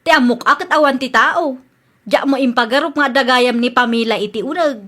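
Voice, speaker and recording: High, bright, brassy Ilocano housewife. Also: female voice, brisk. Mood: argumentative. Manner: sassy, argumentative. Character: brash, defiant housewife who borrows without asking. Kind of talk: Filipino radio comedy drama acting.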